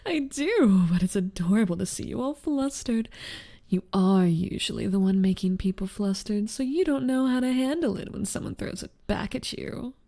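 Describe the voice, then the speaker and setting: A woman plays a smug, seductive rival succubus in an ASMR roleplay. Her voice is slow, mellow and creaky, often sinking into breathiness. She teases and taunts with sly confidence. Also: on the high side, sing-song, exaggerated voice. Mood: amused. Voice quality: breathy, half-whispered in places. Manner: drawling, teasing, taunting. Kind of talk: ASMR audio roleplay acting.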